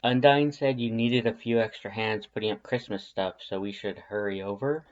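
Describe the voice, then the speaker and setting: Performer doing male skeleton, mellow, laconic, casual, storybook character, muffled recording. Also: fairly even intonation, normal speed, natural voice. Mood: casual, unsure. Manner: laconic, casual, low-key. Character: short laid-back male skeleton, mellow and dry. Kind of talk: fan fiction character voice in audiobook reading.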